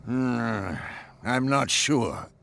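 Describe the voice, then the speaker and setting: An actor playing a surly, hostile caretaker in a video game, with a gruff, threatening manner and raspy voice. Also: male voice, medium pitch, very slow, fairly bright tone, exaggerated voice. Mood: grudging. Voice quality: gravelly, raspy. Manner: gruff, threatening, old-fashioned tough slang. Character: surly, hostile male caretaker guarding a burnt mansion. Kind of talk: video game cutscene acting.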